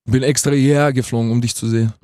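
Man, twenties, radio interview guest, casual, warm dark tone, dry sound. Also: mid-range voice, steady tone, fast, no echo. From Vienna, Austria. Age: 20s. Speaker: guest rapper being interviewed.

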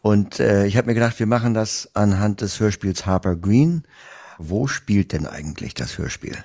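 A man interviewing guests in a conversational, somewhat halting way. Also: lowish voice, darkish tone.

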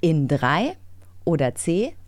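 A woman speaks with a highly varied, animated melody in a dry recording. She co-hosts a podcast and keeps her delivery casual and playful. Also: slow pace, no room sound. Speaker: podcast co-host.

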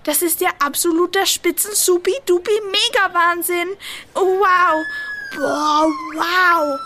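A woman's voice: extremely high pitch, fairly even intonation, slow pace, noisy background. A woman playing a small, boastful, childlike young male squirrel who exclaims eagerly in a children's audio drama. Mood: amazed. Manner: exclaiming, stammers when timid.

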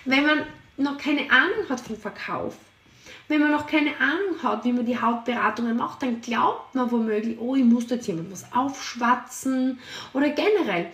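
An energetic woman gives a motivational talk in a high, shrill voice and hammers her points home with repetition. She sits a little away from the microphone. The room around her is echoey. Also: normal speed, recorded from a distance. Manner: energetic and emphatic, piles up repeated words. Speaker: podcast host and sales team leader.

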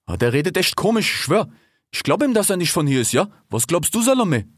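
A man voicing a slow-witted, suspicious male cheetah with blunt slang and questioning tags in a storybook reading. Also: high-pitched, sing-song, husky, dry recording, studio quality, voice pushed up, full character voice. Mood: puzzled.

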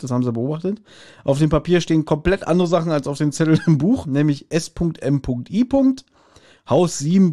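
A man's voice: a little high, warm tone. A man co-hosting a podcast, recapping a story in casual, conversational talk and often checking for agreement. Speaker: podcast co-host. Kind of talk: casual chat recapping an audio drama.

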